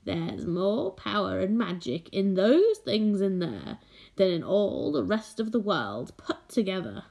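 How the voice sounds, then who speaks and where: A woman voicing a creaky, whispering old man. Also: medium pitch, big pitch swings, darkish tone, exaggerated voice. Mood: hushed secretive. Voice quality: slow and creaky. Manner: whispering, drawn-out coaxing. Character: strange tiny old man, bald, whiskered, creepy. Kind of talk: audiobook character voice.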